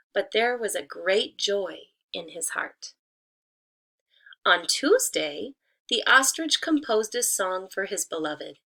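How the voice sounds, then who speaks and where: Female elementary teacher, mid pitch with noticeable fry. Deliberate read-aloud delivery aimed at young children. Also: fairly bright tone.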